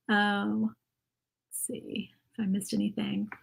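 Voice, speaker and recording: A woman with a mountain Appalachian accent talks in a loose, rambling way as she paints live for her viewers. Her voice sits at a middle pitch and keeps a chatty, friendly flow. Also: monotone, slow, gravelly, husky, rough recording. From Virginia, USA. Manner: chatty, rambling, reads viewer comments aloud. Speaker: craft livestream host. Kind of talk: casual live craft stream chat.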